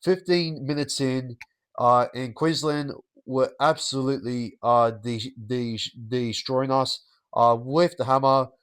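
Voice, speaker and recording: A man hosting a sports podcast, croaky from illness, ranting in a halting way with many fillers and stutters. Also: mid-range voice, fairly even intonation, a bit bright, no room sound. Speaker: podcast host. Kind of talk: solo sports review rant.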